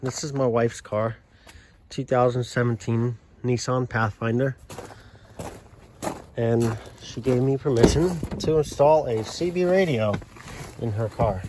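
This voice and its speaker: An American man giving a casual, unscripted walkthrough in a low-key, halting way, as if thinking aloud. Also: noisy background. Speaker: hobbyist showing a CB radio install. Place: inside a parked car.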